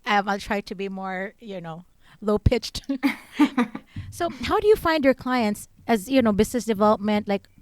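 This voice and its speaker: A woman's voice: medium pitch, animated, normal speed, no echo. A woman hosting a podcast interview, curious and friendly, asking questions and giggling often. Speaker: podcast host.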